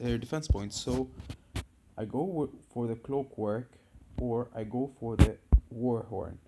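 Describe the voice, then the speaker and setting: A man from Egypt with an Egyptian accent, explaining a game character build in a casual fan video, hesitant with many fillers and restarts, and suddenly loud when stressing a point. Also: mid-range voice, heavy background noise. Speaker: gamer making a fan video.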